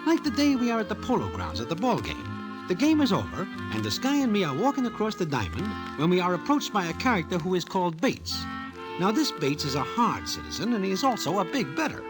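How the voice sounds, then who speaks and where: An actor playing a wry small-time gambler from Broadway in an old-time radio drama, speaking stilted formal slang. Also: male voice, sits high, wildly swooping pitch, a little fast, over music, rough recording. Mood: wry storytelling.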